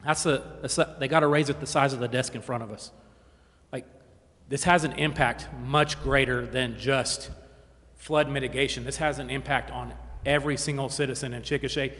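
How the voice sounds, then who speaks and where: A man's voice: medium pitch, a bit bright. Emphatic man in reverberant hall. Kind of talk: argument at a public meeting. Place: council chamber.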